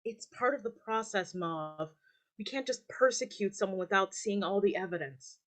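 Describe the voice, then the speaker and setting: Woman playing blunt, impatient animal, mid-pitched. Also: normal speed, close to an everyday voice. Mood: insistent. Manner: blunt, urgent. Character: outspoken, impatient forest animal named Mike. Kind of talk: staged reading acting.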